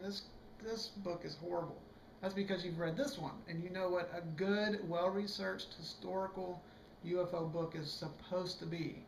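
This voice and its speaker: A hoarse man giving a casual, rambling video book review, full of fillers and restarts. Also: high-pitched, echoey room, a little hiss or hum, poor audio.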